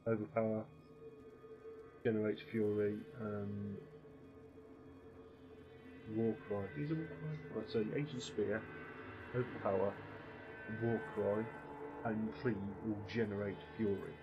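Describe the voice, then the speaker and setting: A man hosting a gaming stream, calmly walking a player through abilities step by step in casual commentary. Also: lowish voice, takes it slowly, pauses often, lo-fi sound, over music. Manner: explains game abilities patiently, step by step. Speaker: gaming channel host.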